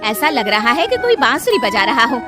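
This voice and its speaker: A woman voicing a worried but bold village mother in a cartoon story, emphatic and expressive. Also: fairly high pitch, moderate pace, over music.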